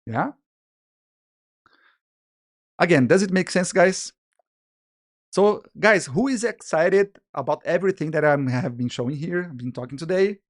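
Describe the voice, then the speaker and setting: A man's voice: a little high, measured pace, long pauses. Brazilian-accented man teaching a live class. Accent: Brazilian Portuguese. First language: Portuguese. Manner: animated, frequent restarts, checks in with "yeah?" and "guys". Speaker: illustration business teacher. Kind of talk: live online masterclass with sales pitch.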